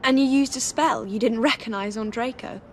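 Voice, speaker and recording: Woman as frank teen witch, highish voice. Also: moderate pace, a little hiss or hum, close to an everyday voice. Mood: firm. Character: frank, supportive teenage schoolgirl witch. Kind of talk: video game voice acting.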